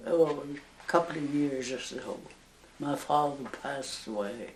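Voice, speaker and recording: Very old Northern Irish woman, slow speech. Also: very deep voice, echoey room, slight background noise, poor audio. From Northern Ireland. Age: over 100. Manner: slow, halting, with soft laughs. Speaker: interviewee, elderly nun. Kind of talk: oral history interview.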